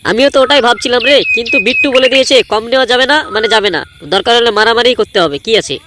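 A performer voicing a slow-witted, flustered young gang boss in a comedy cartoon, blustering. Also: mid-range voice, noisy background, close to an everyday voice. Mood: flustered, indignant. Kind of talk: Bangla comedy cartoon dubbing.

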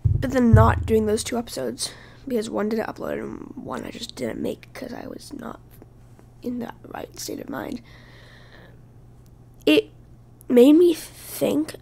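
A woman hosting her own podcast, speaking casually while hoarse from losing her voice, halting and often dropping to a breathy whisper. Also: on the high side, sing-song, dark tone. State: hoarse, lost voice. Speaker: podcast host. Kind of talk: casual solo podcast talk.

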